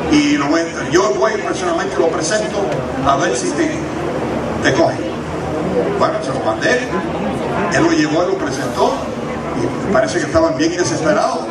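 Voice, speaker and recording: An older man with a slight Cuban Spanish accent, a pastor telling stories in a sermon with wry humor and many fillers. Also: sits high. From Cuba. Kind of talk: anecdotal sermon. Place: church sanctuary. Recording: echoey room, mic from a distance.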